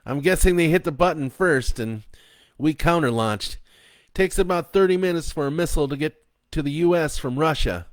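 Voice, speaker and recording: A man reading a story aloud and voicing a steady middle-aged father talking firmly under pressure. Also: on the high side, moderate pace, no echo, pitched above the performer's own voice, close to an everyday voice. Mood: tense.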